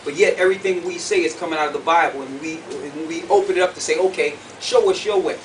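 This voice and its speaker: A man's voice: fairly high pitch, fast talker. A man preaching and teaching from scripture to a group, emphatic, repeating phrases and putting questions to his listeners. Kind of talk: sermon, conference teaching to a group. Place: conference room with an audience. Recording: distant mic, clearly noisy room recording.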